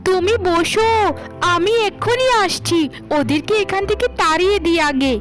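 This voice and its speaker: A woman's voice: extremely high pitch, animated, moderate pace, soft background music. A woman voicing a haughty married daughter in a cartoon melodrama, urgent and dismissive. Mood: cold, evasive. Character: haughty married daughter spurning her beggar parents.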